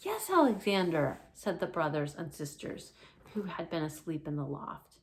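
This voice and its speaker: A woman's voice: sits low, fairly even intonation, moderate pace. A woman reading a children's storybook aloud as a librarian, narrating and explaining for young listeners.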